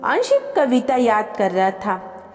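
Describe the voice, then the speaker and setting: A woman reading a scripted, emotional family story as an expressive storyteller. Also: a little high, takes it slowly. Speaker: storyteller and channel host. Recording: long reverb with music behind. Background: background music.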